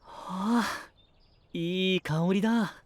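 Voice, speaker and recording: A man's voice: high voice, very slow, dry recording. A man playing an artistic knight with theatrical, admiring, poetic phrasing in an anime-style audio drama. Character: artistic, flowery, smooth-talking male knight singer.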